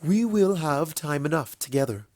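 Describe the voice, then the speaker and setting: Man voicing a gentle, unhurried, knowing pony princess. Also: on the high side, lively intonation, dry recording. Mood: gentle, assured. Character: wise, fond, teasing elder pony princess, female.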